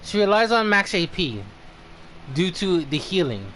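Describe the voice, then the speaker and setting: A man hosting a game livestream in casual chat, thinking aloud in a halting way. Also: high voice, very animated, fairly bright tone, slight background noise.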